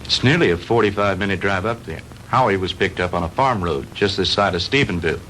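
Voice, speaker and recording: An actor playing a helpful, persistent newspaper reporter in a 1960s B-movie. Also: male voice, low-pitched, a little fast, a bit bright. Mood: earnest, persuasive. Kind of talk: 1960s B-movie dialogue acting. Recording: noisy 1960s film soundtrack.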